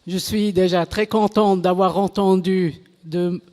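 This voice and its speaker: A woman giving a conference talk in French, which is not her first language, halting and correcting herself as she goes. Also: lowish voice, steady tone, warm tone. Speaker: conference speaker. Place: conference hall. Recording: reverberant hall.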